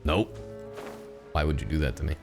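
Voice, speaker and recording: A man's voice: a bass voice, normal speed, gravelly, music in the background. A man streaming a video game, chatting casually with viewers in an irreverent, joking, slangy and sweary way. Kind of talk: casual livestream chat and game commentary.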